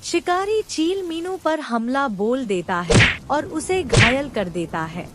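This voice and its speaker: A woman's voice: high-pitched, animated, slow, heavy background noise. A woman narrating a scripted cartoon children's story as the storyteller.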